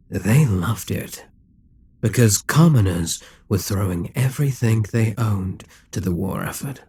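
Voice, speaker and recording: A smug, dominant Demon King, acted by a man, speaks with a husky, breathy voice in a very dry, close-sounding ASMR roleplay. He takes his time, taunting and persuading with slow, deliberate menace. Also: lowish voice, warm tone, no echo, heavily acted. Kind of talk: dramatic ASMR roleplay monologue.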